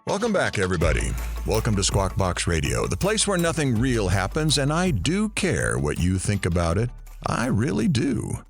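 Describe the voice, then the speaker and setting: A man playing a wry morning radio host, delivering comic patter in a deadpan, mock-sincere way. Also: fairly low pitch, moderate pace, creaky, faint music behind, heavily acted.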